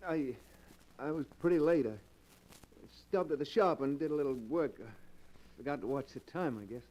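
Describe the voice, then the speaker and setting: An actor playing a nervous, guilt-ridden middle-aged husband in an old-time radio drama, stammering and pleading. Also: male voice, fairly high pitch, moderate pace, darkish tone, natural voice, low-quality recording. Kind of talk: 1940s radio acting.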